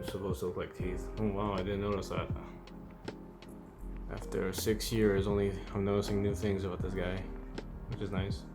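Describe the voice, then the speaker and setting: A man giving a casual, rambling review, thinking aloud in an informal, offhand way. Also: deep voice. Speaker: host of a toy figure review channel. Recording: music under the voice. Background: background music.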